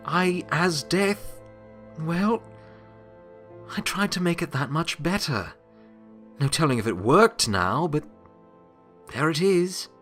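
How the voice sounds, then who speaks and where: Audio story role: man as ancient former Death, weary and wry, unhurried halting delivery, reassuring. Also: mid-range voice, fairly bright tone. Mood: wistful, rueful. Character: Blanche, centuries-old retired pony Death, gentle, wry, weary. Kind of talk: dramatic audiobook character acting.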